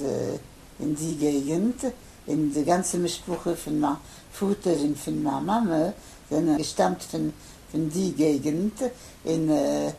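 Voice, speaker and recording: An older man from Poland, a native Polish speaker, gives a hesitant interview answer full of pauses and fillers. His voice sits low and gravelly, with a dark, mellow tone. A faint hiss of noise runs underneath. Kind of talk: halting interview in Yiddish.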